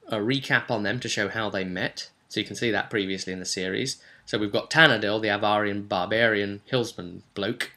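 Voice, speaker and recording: A man's voice: on the low side, bright, forceful tone. A man speaking as a game master and video host, giving a chatty, explanatory recap of a tabletop role-play session with rambling asides.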